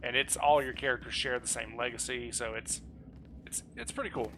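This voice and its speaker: A man's voice: medium pitch, steady tone, brisk, bright, forceful tone, a little hiss or hum. A man chatting casually with friends while gaming online, joking and swearing freely in a salty way. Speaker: gamer playing online with guildmates.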